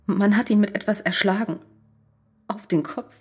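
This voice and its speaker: Woman acting a husky, blunt, strained wife. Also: mid-range voice, moderate pace, lightly acted. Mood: agitated. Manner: blunt, curt. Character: strained, blunt, defiant wife with a secret lover. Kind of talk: radio crime drama acting.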